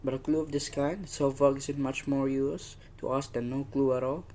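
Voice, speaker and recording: A man's voice: medium pitch, flat delivery, moderate pace, a little mellow, slight background noise, close to an everyday voice. A man playing a weary, patronizing police desk officer brushing off a caller in a radio suspense play. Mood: matter-of-fact. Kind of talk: old-time radio suspense play acting.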